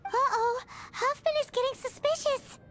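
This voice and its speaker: A woman voicing a tiny, excitable floating fairy girl in a video game, with a childlike, exclaiming manner. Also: very high voice, normal speed, soft background music. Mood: panicked. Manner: childlike, exclaiming, refers to self by name. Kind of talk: animated video game acting.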